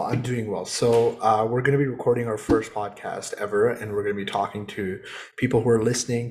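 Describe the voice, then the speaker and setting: A young adult man hosting a podcast interview in a relaxed, casual way, with frequent fillers. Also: medium pitch, slight background noise. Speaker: podcast host.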